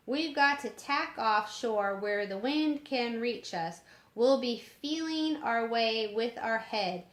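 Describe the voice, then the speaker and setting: A woman voicing a gruff, skeptical male sea captain in a storybook reading, recorded in an echoing room. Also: on the high side, lightly acted.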